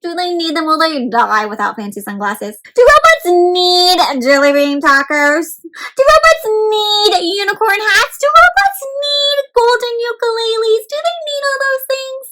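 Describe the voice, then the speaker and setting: A woman doing an animated, interactive picture book read-aloud for children, full of questions to the listeners. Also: sits high.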